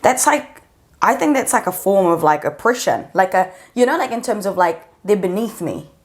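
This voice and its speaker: Young New woman, even mid-range voice, analytical co-host. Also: medium pitch, moderate pace. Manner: thoughtful, analytical. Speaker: podcast co-host. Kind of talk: casual conversation.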